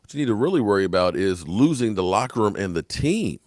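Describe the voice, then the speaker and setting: A man giving opinionated sports commentary in an emphatic, frustrated rant, his voice hoarse and fading. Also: fairly low pitch, sing-song, quick pace, darkish tone, no room sound. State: hoarse, coughing.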